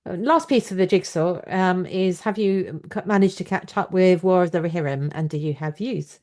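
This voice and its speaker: A woman with a slightly low voice speaks as an interview host. She talks conversationally and lays out her opinions step by step, in an analytical, explanatory manner. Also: normal speed, warm tone. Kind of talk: conversational book and screen discussion.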